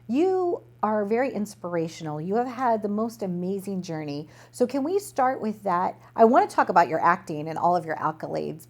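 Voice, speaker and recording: A woman hosts a talk show in an easy conversational style. She is effusive and complimentary, and her voice sits at an average pitch for a woman. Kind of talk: interview, conversational.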